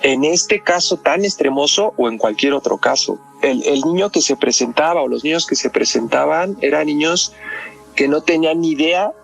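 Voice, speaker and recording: A man's voice: on the high side, lively intonation, moderate pace, gravelly. A man with a Mexican accent speaking as a guest psychoanalyst in conversation, explaining step by step and often tagging on questions. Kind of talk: explanatory conversation about a crime case. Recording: music behind the voice.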